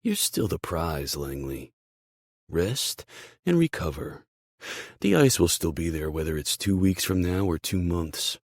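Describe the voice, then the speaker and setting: A man's voice: deep voice, lively intonation, a little slow, no room sound, studio quality, exaggerated voice. A man voicing a hearty, encouraging male team boss in an emphatic, fatherly way for an audiobook. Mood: encouraging, firm.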